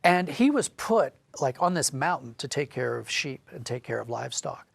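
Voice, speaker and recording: A man's voice: medium pitch, very animated, moderate pace, vocal fry, no echo. A man speaking as an interview guest, explaining and telling stories conversationally with emphatic phrasing. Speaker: guest, audio drama writer and director.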